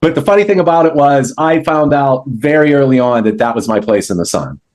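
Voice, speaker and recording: A man's voice: mid-range voice, expressive, fairly quick, a little mellow. A man in his fifties telling his career story in an interview, acting out the remarks of people he quotes as he goes. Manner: anecdotal, acts out quoted dialogue. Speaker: sales entrepreneur.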